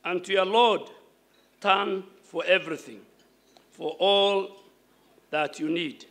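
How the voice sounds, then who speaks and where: A man's voice: high-pitched, fairly even intonation, slow, fairly bright tone. A man giving a solemn religious recitation in an emphatic, declamatory manner. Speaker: reciter of scripture at a prayer event.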